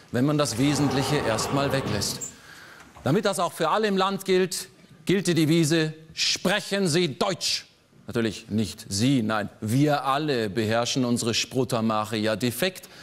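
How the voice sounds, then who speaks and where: A man in his forties performing a political cabaret monologue on a theater stage, sardonic, landing dry punchlines with sudden jumps in pitch. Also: lively intonation, normal speed. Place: large theater stage before a live audience.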